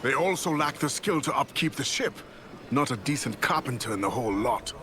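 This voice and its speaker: An actor playing a stern, principled pirate quartermaster in a video game, formal and commanding in manner. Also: male voice, mid-range voice, lively intonation, brisk, sharp tone. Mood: exacting. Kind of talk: video game cutscene acting. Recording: dialogue over clear background noise.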